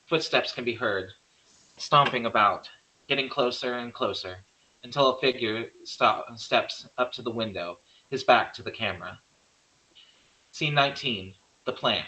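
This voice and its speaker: A man serves as narrator for a horror screenplay read. His mid-pitched voice is bright and crisp-edged as he reads scripted scene directions. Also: a little slow, rough recording. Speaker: narrator reading stage directions.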